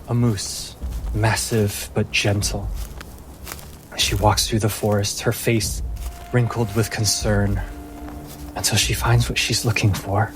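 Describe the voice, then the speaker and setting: Slow, low-voiced man narrating over music. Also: rough recording. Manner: slow, deliberate, pausing phrasing. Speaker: game master. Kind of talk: dramatic tabletop fantasy narration. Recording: music playing behind the voice.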